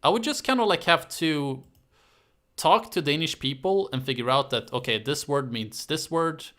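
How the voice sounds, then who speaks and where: Bright-voiced man chatting casually. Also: fairly high pitch, animated, normal speed. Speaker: YouTube history creator hosting a live stream. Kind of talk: casual live-stream chat answering viewer questions.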